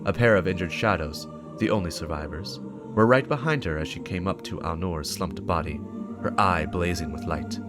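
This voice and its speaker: A man's voice: low voice, normal speed. A man giving a dramatic scripted narration of a story. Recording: music behind the voice. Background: game soundtrack music.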